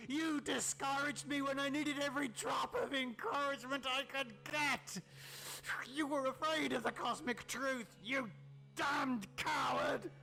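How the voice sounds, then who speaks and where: High-pitched, raving mad scientist. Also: male voice, fairly even intonation, creaky, full character voice, poor audio. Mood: bitter fury. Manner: theatrical, taunting, drawn-out words, shouted outbursts. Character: mad, gloating male scientist raving in hatred. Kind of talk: dramatic horror audiobook character reading.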